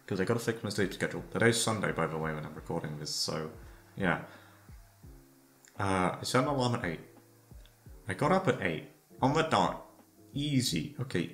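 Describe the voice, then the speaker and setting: A man with a gravelly voice rambling casually, tired and downcast, hesitant and self-deprecating, swearing often. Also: on the low side. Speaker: audio creator talking to listeners. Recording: music playing behind the voice. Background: soft background music.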